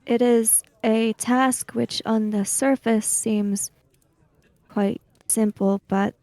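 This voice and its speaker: Woman playing a slow, reserved samurai emissary. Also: on the high side, fairly even intonation, darkish tone, no room sound. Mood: reserved, measured. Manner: formal, deliberate, precise. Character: reserved, formal samurai emissary, measured and guarded. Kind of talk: in-character tabletop roleplay.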